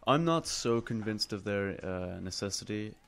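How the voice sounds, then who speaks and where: Male panelist, low voice with heavy fry, hesitant, thinking aloud informally. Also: lively intonation, normal speed, a bit bright, soft background music. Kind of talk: casual discussion.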